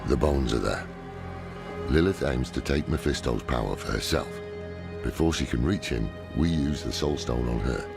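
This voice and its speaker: Very deep, gravelly old warrior mentor. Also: male voice. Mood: grave, firm. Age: older. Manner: gruff, measured. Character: grizzled old scholar-warrior mentor, gruff, weary, resolute. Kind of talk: dramatic video game cutscene acting and narration. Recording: music under the voice.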